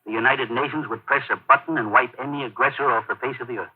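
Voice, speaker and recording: An actor playing a weary, middle-aged Air Force general with a grave, authoritative manner in a 1950s radio drama. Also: male voice, medium pitch, fairly even intonation, fast, slightly rough, close to an everyday voice. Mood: grave, urgent. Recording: dull old radio broadcast.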